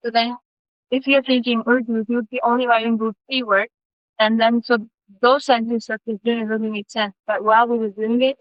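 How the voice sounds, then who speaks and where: A woman's voice: on the high side, no echo. A teenage girl from Pakistan whose first language is Punjabi, presenting hesitantly at school with many ums and repeated words. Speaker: student presenter. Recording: dull lo-fi video playback.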